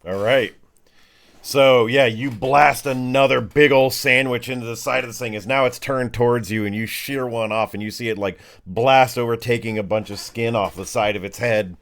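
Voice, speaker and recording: A man's voice: mid-range voice, fairly even intonation, moderate pace, a bit bright. A man acting as game master, narrating a tabletop role-playing game in casual, slangy language.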